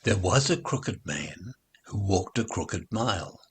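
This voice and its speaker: A man reading nursery rhymes aloud in an expressive, deliberate, sing-song way, as a recital for children. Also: low voice, breathy edge.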